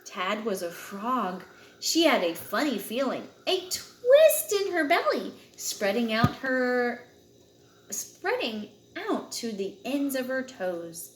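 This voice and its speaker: A woman reads a picture book aloud with dramatic emphasis on key words. Her voice sits fairly high and swings widely in pitch. The room adds a noticeable echo around her expressive storytelling. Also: takes it slowly. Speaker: storyteller reading aloud to a child.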